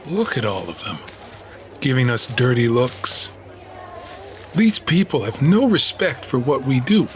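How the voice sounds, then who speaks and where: A man playing a hard-nosed, distrustful police officer in an audio drama, speaking in a gruff, sardonic way. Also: medium pitch, sing-song, measured pace. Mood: resentful. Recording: noisy outdoor city street ambience. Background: urban outdoor ambience.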